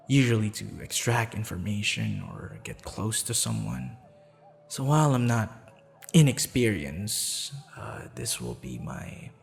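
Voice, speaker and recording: A man playing a courteous, flirtatious assassin in an intimate ASMR roleplay, smitten and slightly sheepish, speaking softly and formally with hesitant pauses. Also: medium pitch, creaky, heavily acted. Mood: sheepish, giddy. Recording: long reverb, music behind.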